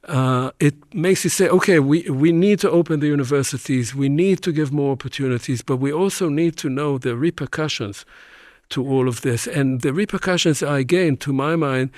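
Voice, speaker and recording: A man's voice: a little high, normal speed, darkish tone, no echo, clean studio sound. An older man, a professor speaking on a debate panel, reflective and hesitant with many fillers and restarts. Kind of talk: panel discussion.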